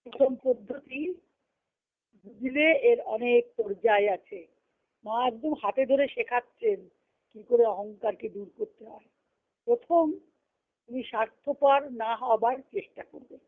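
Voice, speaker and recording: A woman giving a devotional lesson as a spiritual teacher, reading and explaining in an instructive way, repeating phrases for emphasis. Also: fairly high pitch. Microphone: conference call line. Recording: muffled, narrow phone-like conference call.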